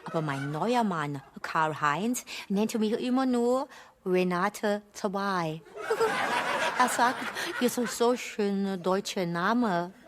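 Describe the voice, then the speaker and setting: A woman playing a Thai-born wife speaking slow, broken German with a Thai accent. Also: mid-range voice, noisy background, natural voice. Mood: cheerful.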